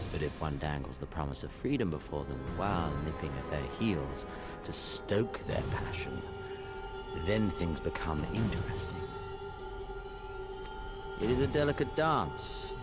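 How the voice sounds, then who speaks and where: A man playing a cruel, haughty imperial prince in a video game, speaking with languid, theatrical menace. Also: sits low. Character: cruel imperial crown prince commander, bored predatory huntsman. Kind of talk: dramatic video game cutscene acting.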